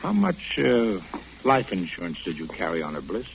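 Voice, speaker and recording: An actor playing a hard-nosed police detective, sarcastic, needling and terse, in 1940s radio crime drama style. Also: male voice, sits low, sing-song, moderate pace, vocal fry, exaggerated voice. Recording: muffled, noisy old radio broadcast.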